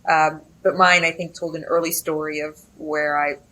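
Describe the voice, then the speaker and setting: A woman's voice: deep voice, level tone, normal speed, sharp tone, poor audio. A woman who grew up speaking Spanish at home, talking reflectively as an interview guest, with frequent fillers and restarts. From Texas, USA. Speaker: guest, business editor. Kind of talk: conversational interview.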